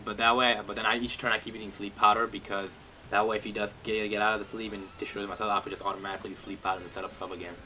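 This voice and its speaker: A young man talks through a replay of his own game battle, rambling and full of "like" and "you know." His voice sits low yet comes across bright and forceful. Faint game music plays underneath. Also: flat delivery, rapid-fire. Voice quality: says he has a deep voice. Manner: rambling, filler-heavy, lots of "like" and "you know". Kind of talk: casual game commentary.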